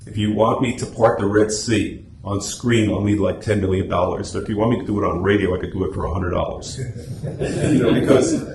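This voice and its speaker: A man giving an informal club talk in a meeting room, explaining things through anecdotes. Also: fairly low pitch. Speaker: guest speaker, radio drama society officer. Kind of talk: informal club presentation and discussion. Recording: echoey room, faint background noise.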